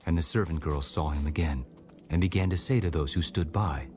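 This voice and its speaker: A man narrating scripture in a measured, solemn audio Bible style. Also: rumbling low, background noise. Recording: music bed behind narration.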